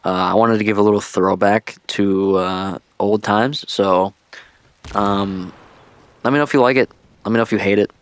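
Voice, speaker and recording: A man's voice: on the low side, fairly even intonation, moderate pace, smooth, no room sound. A man giving casual, laid-back gaming vlog commentary in a rambling way, on an old-school headset-style mic. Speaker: video creator and streamer. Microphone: headset-style microphone, deliberately old-school sound.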